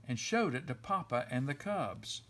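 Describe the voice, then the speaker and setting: Expressive male storybook reader, lively pitch. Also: moderate pace, slight background noise. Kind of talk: expressive children's story read-aloud.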